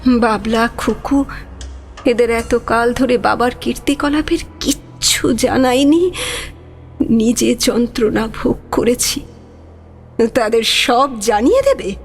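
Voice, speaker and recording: A woman playing an embittered, wounded middle-aged widow in an audio drama, speaking reproachfully with dramatic pauses. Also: on the high side, soft background music. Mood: indignant, bitter. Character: embittered, wounded widow confronting her late husband's mistress. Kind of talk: Bengali audio drama acting.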